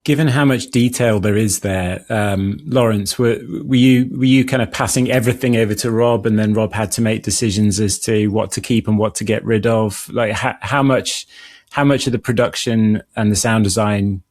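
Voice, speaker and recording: Man, slightly low voice, interview host, conversational questioning. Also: steady tone, normal speed, a little mellow.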